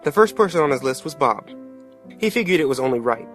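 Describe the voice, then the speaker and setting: A man's voice: a little high, very animated, fast talker, a bit bright, faint music behind. A man playing a plain-spoken working-class father who narrates a dramatic tale in an earnest, colloquial way. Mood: reflective.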